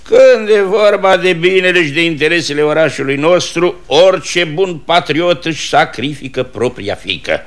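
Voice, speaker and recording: A man's voice: fairly high pitch, lively intonation, hardly any pauses, lightly acted. An actor playing a bustling, pompous middle-aged family man in a radio comedy, speaking emphatically. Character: bustling, pompous small-town father and dutiful son. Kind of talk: mid-century radio comedy theatre acting.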